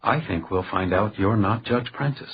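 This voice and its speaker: An actor playing a shrewd, defiant house detective, speaking in a precise, dryly wry way in a radio crime drama. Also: male voice, lowish voice, moderate pace, slightly rough, phone-quality sound. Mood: pointed, confident.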